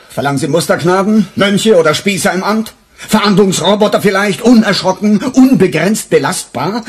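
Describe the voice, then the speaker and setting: An actor playing a sarcastic, embittered police detective in a radio crime drama, defensive and emphatic, with a husky voice. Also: male voice, high-pitched, exaggerated voice. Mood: indignant, bitter.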